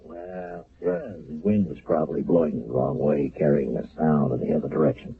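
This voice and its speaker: A man's voice: a bass voice, moderate pace, gravelly, narrow, tinny audio, crowd noise. An actor playing a hesitant army captain who fills his speech with "uh" in an old radio drama. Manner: hesitant, with many uh fillers. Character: gruff army engineer captain, brisk. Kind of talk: 1970s radio drama acting.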